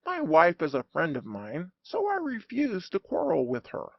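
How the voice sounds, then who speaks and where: Animated man reading a humorous story, gravelly edge. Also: high-pitched, moderate pace, dry recording, muffled recording. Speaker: audiobook narrator. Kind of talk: scripted humorous narration.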